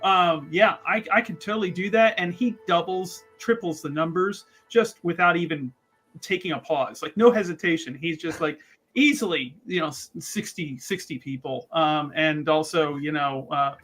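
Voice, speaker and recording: Bright-voiced man boasting in character. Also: on the high side, normal speed, faint music behind. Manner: boastful, laughing often. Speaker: tabletop game player. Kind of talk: in-character roleplay chat.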